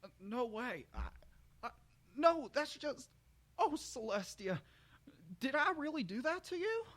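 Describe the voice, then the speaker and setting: A man's voice: very high-pitched, big pitch swings, a little slow, a bit bright, voice pushed up, exaggerated voice. A man voicing a confused, kindly, innocent cartoon pony with folksy country talk and a stammering manner. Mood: shocked, apologetic.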